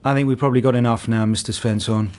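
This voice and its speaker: A man acts a considerate male detective in a naturalistic radio drama. He sounds measured and gently probing, with a mid-pitched everyday male voice. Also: fairly even intonation, lightly acted. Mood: patient, gentle.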